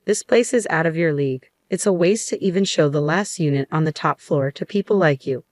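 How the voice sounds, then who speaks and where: A woman's voice: low-pitched, brisk, darkish tone, dry recording, studio quality, natural voice. A woman voicing an arrogant, snobbish male property salesman in a dismissive, mocking manner for a narrated story. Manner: scornful, dismissive.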